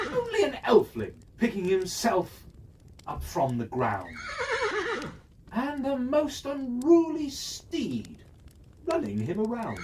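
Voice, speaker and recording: A storyteller reading a rhyming fairy tale for children in a measured, sing-song cadence. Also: medium pitch. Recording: distant mic, faint hiss.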